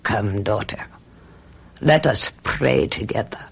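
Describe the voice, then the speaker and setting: Coven leader role: creaky, raspy voice, solemn authority, incantatory radio drama delivery. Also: male voice, fairly low pitch, slow, full character voice. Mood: solemn, knowing. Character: solemn, commanding coven leader and occult mentor.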